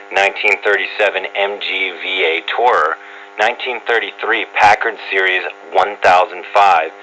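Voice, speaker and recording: A man's voice: low voice, sharp tone. A man reading a scripted radio feature as a reporter, heard over shortwave radio. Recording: noisy shortwave radio reception with hum. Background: static and hum.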